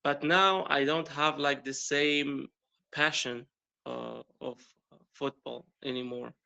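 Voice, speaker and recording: A man's voice: medium pitch, fairly even intonation, takes it slowly, creaky, bright, forceful tone, clear, resonant tone, muffled recording. A young man from Morocco, an Arabic speaker practicing English in casual conversation, hesitant, with many fillers and restarts. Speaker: primary school teacher practicing English.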